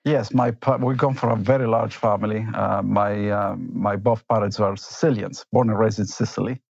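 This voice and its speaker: Gravelly low-voiced man, reflective. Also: steady tone, moderate pace, darkish tone, dry recording, dull audio. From Argentina and Italy. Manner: reflective, many fillers and tag questions. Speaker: company executive, interview guest. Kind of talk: conversational interview answers.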